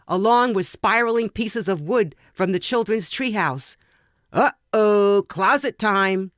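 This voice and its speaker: Female story podcast reader, fairly low-voiced, measured and dramatic, recorded dry without room sound. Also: dry recording. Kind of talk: expressive reading of a first-person story.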